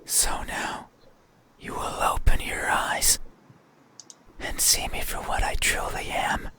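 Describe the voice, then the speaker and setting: Casting: an otherworldly horror-game entity, whispered throughout, very unhurried, menacing with a sorrowful edge. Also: sharp tone. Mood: ominous, insistent. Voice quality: breathy unvoiced whisper. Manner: slow, halting, insistent. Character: eerie mysterious being demanding to be seen, menacing and sorrowful. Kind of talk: horror visual novel voice acting.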